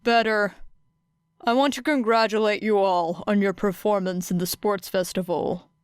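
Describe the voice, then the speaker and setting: A woman voicing a stern, weary male teacher in an audiobook, with a curt, deadpan manner. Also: medium pitch, normal speed, smooth, dry recording, studio quality, close to an everyday voice. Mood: dry, curt.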